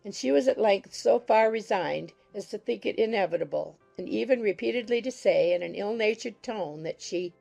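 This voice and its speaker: A woman reading scripted audiobook narration in a measured, formal storytelling manner. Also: mid-range voice, gravelly, dark tone, soft background music, poor audio.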